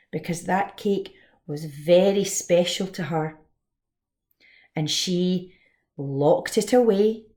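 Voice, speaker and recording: A woman's voice: on the low side, a little mellow. A woman reading a children's story and explaining it expressively in simple terms, like a teacher. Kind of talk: children's story read-along and lesson.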